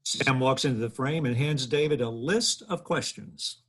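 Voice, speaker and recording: Husky man reading stage directions. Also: medium pitch, normal speed. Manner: plain, steady read of scene directions.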